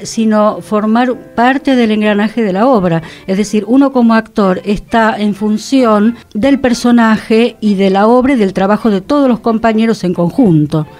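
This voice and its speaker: Female Argentine radio co-host, slightly high voice, conversational and reflective, explains her thoughts at length. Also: darkish tone. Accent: Argentine, Rioplatense. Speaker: radio co-host, theatre director and actor.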